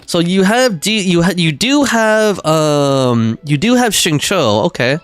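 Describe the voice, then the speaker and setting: A man's voice: sits high, animated, slow. A man livestreaming a game, chatting casually with viewers and reading their messages aloud.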